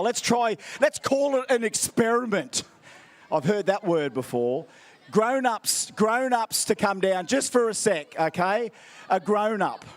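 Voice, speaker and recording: An Australian man acting as MC at an outdoor event, handing out prizes with playful, teasing crowd banter. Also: high-pitched.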